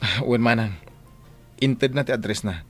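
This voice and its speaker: A man's voice: mid-range voice, moderate pace, music in the background, lightly acted. A man playing a determined, earnest young employer in a radio drama, with an Ilocano accent. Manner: resolute, earnest. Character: determined young gentleman employer defying his mother.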